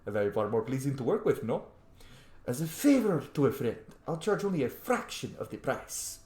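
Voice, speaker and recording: A man's voice: medium pitch, sing-song, fairly quick, a little mellow, close to an everyday voice. Man putting on a suave, teasing assassin, roomy sound. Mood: flirtatious, wheedling. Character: suave flirtatious male assassin writing to a friend.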